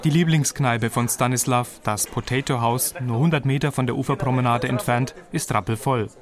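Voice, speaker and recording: A man narrating a scripted radio reportage in an even, descriptive way. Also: medium pitch, moderate pace, faint music behind.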